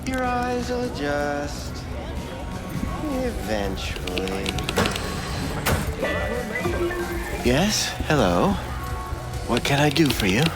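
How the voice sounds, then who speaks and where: A slimy video game back-alley doctor with a highish voice that swoops around. He drawls slowly and fawningly, slipping away from any straight answer, over clearly audible music. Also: sing-song, heavily acted. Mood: oily, clinical. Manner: oily, drawn-out, stammering when pressed. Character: sleazy, unctuous male back-alley doctor, evasive and fawning. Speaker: back-alley ripperdoc character. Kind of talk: video game character acting.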